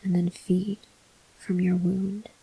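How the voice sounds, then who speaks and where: A woman playing a brooding, guilt-ridden male vampire officer in an ASMR roleplay, whispering breathily in slow, halting, formal phrases. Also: on the low side, little pitch movement, dark tone, slightly rough, exaggerated voice, poor audio. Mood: weary, grateful.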